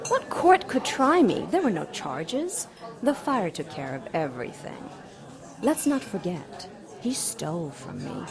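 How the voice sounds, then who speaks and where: A demon queen in an audio drama, low sultry taunting, proud n coy, crowd chatter behind. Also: huge pitch swings, unhurried, full character voice. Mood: haughty, indignant. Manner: coy, mocking, theatrical.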